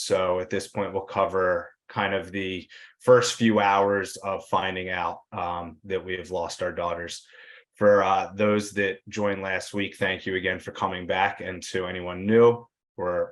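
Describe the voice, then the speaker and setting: A man from Philadelphia opening a seminar, soft-spoken and hesitant, with many fillers, heard over a video call. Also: low-pitched. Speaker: seminar presenter.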